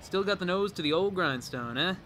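An actor playing a breezy, wisecracking well-off pal in a radio drama, jaunty and slangy. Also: male voice, high voice, lively intonation, brisk, a bit bright, slight background noise. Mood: breezy, jovial.

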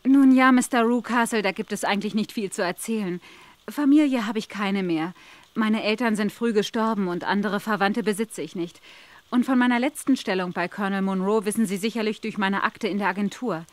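A woman's voice: mid-range voice, brisk, no echo, natural voice. A woman playing a polite, modest young governess with a reserved manner in a German radio drama. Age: young adult.

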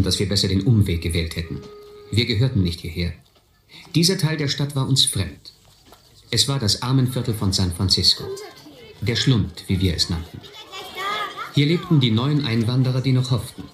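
A man's voice: mid-range voice, animated, moderate pace, fairly bright tone, reverberant, natural voice. An actor narrating a radio drama as a man recounting his boyhood street adventures. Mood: uneasy, tense.

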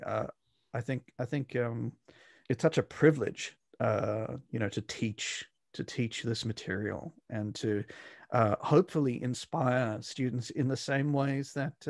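A middle-aged man with a mixed South African and Australian accent speaks haltingly, with many fillers. His voice has a gravelly edge. The recording is dry and close, from a home video call. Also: mid-range voice, darkish tone, dry recording. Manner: hesitant, many fillers and restarts. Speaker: guest scholar. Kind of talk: conversational interview. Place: home room with bookshelves. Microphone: studio microphone on video call.

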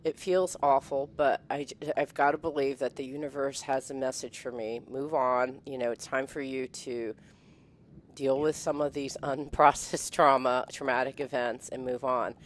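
A woman's voice: a bass voice, steady tone, normal speed. A middle-aged woman chatting as a guest on a video call. She talks with emotion, laughs often and restarts her sentences. She speaks into a headset mic from a room at home. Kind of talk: casual chat.

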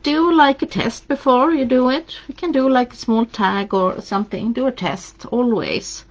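A woman's voice: a little high, normal speed, highs cut off. A woman with a Swedish accent, a Swedish native speaker, giving a live craft tutorial and chatting encouragingly while she paints. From Sweden. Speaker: craft demonstrator. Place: indoors at a craft table.

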